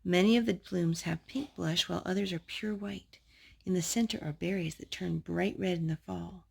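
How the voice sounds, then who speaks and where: Woman, storytime reader, lowish pitch, gravelly creak, picture-book read-aloud. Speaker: storytime host reading aloud to a child.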